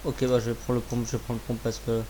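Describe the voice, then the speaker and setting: A slightly hoarse man with a sore throat giving casual, informal gameplay commentary, muttering to himself and swearing. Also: medium pitch, completely flat delivery, fast talker, mellow, a little hiss or hum, poor audio. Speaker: gaming video creator.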